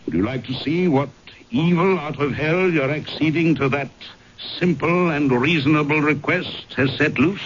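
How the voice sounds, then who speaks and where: An actor playing a tormented, darkly sardonic aristocrat in an old-time radio drama, speaking in a formal, accusing way. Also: male voice, a little high, moderate pace, natural voice. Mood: grave, ominous. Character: bitter, tormented aristocrat betrayed by his wife, darkly sardonic. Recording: muffled, noisy old radio broadcast.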